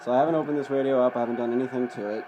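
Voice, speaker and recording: A man's voice: mid-range voice, fairly even intonation, racing, music in the background, poor audio. A man giving a casual, unscripted, hands-on demonstration of gear, plain-spoken and matter-of-fact, in an amateur home video. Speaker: hobbyist presenter demonstrating radio gear.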